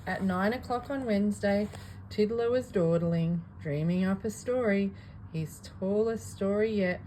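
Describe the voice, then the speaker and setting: Expressive woman reading a bedtime story, noisy recording. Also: medium pitch, unhurried. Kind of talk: expressive children's storybook read-aloud.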